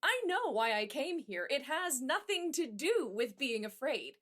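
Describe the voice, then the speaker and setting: A defiant patient in a horror audio play. Her voice sits fairly high and swings a lot, with unhurried delivery and a temper underneath. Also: sing-song, a bit bright, exaggerated voice. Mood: irritated. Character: defiant, angry young female patient fighting her fears. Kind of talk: horror audio drama acting.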